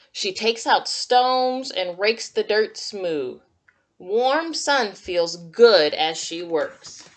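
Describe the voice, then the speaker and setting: A woman teacher reading a picture book aloud to young children, adding simple, encouraging comments and questions as she goes. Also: a little high. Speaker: kindergarten teacher.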